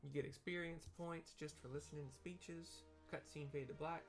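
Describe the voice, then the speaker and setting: A man's voice: on the high side, fairly even intonation, moderate pace, gravelly, soft background music. A man casually narrating a video game playthrough, describing the scene in an informal, explanatory way.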